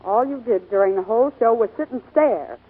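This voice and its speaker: A woman's voice: fairly high pitch, a little fast, slightly rough. An actress playing a plaintive, clinging medicine-show woman in 1940s radio style. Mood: hurt, pleading. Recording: tinny 1940s radio broadcast.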